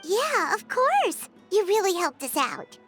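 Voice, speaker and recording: Squeaky, chirpy little companion creature. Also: female voice, sing-song, takes it slowly, fairly bright tone, soft background music, full character voice. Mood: cheerful. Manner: chirpy, informal. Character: small childlike companion creature, high-pitched, chirpy and frank. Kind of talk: video game voice acting.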